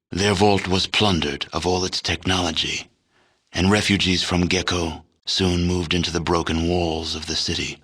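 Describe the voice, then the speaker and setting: A man playing a grave, world-weary storyteller narrating the fate of a wasteland in a video game, solemn, measured and deliberate. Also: low-pitched, a bit bright, breathy edge. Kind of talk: scripted epilogue narration.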